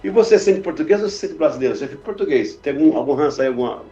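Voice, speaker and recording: A man hosting a church podcast interview in a casual, chatty way, jumping in with quick reactions. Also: fairly high pitch, lively intonation, dark tone. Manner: chatty, jumps in with short reactions. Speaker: podcast host. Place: podcast studio. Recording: roomy, muffled studio audio with faint music.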